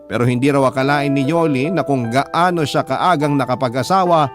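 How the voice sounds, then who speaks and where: A man's voice: medium pitch, brisk. A man with a Filipino accent reading a scripted love story as a radio storyteller in a dramatic narration style. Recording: music playing under the voice. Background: background music.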